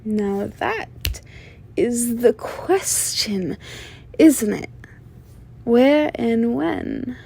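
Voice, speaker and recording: A woman playing a flirtatious, menacing female mob boss in an ASMR roleplay, sly and self-assured, with a soft, teasing, sultry delivery. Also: on the high side, slightly rough. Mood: businesslike, confiding. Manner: teasing, sultry, drawn-out delivery with veiled threats. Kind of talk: scripted ASMR roleplay monologue addressed to listener.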